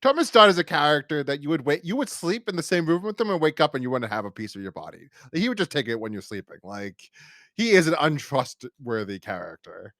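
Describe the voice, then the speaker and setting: A man's voice: on the high side, very animated, a little fast, a bit bright, no echo, clean studio sound. A man hosting a podcast, talking casually in a rambling, opinionated and emphatic way as he reviews. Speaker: podcast host.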